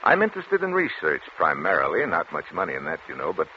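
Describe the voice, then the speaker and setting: An actor playing a grave, reassuring research doctor, measured and solemn in 1940s radio drama style. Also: male voice, low voice, huge pitch swings. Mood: modest. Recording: muffled, noisy 1940s radio recording.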